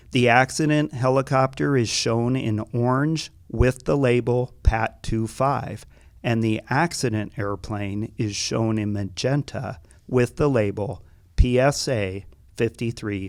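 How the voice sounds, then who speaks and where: A man narrates in a factual, formal register. He takes his time, with noticeable vocal fry and a mid-range pitch, recorded dry with almost no room sound. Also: dry recording. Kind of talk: scripted explanatory narration.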